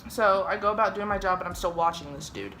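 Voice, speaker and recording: A woman's voice: medium pitch, fast talker, soft background music, rough recording. Chatty swearing young woman. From Germany. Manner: chatty, swears often, lively re-enacted quotes. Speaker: vlogger telling a story. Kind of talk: casual storytime.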